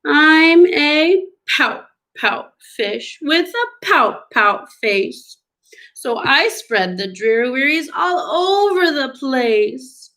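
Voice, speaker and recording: A woman voicing a gloomy, resigned male fish from a storybook, in a mopey, drawn-out singsong. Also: sits high, big pitch swings, sharp tone, pitched above the performer's own voice, exaggerated voice.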